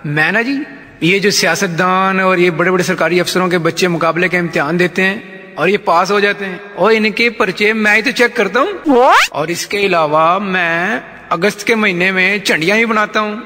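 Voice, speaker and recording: An actor with a Punjabi accent playing a jovial, shameless, blind village politician in a satirical comedy sketch, folksy and teasing. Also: male voice, high voice, moderate pace, sharp tone. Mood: teasing, cynical. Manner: folksy, teasing, peppered with interjections. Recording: long hall reverb.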